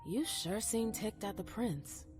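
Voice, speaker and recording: A woman's voice: medium pitch, expressive, unhurried, natural voice. A woman playing a stubborn, wounded female vampire in a video game, speaking in a cool, skeptical, insistent way. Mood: skeptical, teasing. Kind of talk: video game cutscene dialogue acting. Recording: game music underneath. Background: background music.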